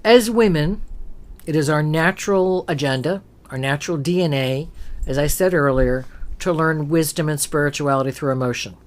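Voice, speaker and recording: Low-pitched female talk host, emphatic and preachy, fond of rhetorical questions. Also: moderate pace. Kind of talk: opinionated spiritual talk.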